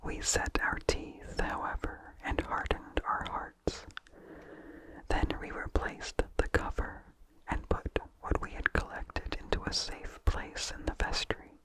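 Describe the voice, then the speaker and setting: A person reading a vintage horror story aloud for ASMR in a hushed, breathy whisper with slight mouth sounds, slow and deliberate. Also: poor audio.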